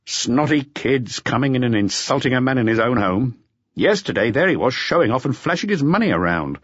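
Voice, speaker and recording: Man voicing a calm firm café boss, controlled, husky, dull dry sound. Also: mid-range voice, fairly quick, dry recording, voice pushed up. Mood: firm, curt. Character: tough calm café owner, man used to fights.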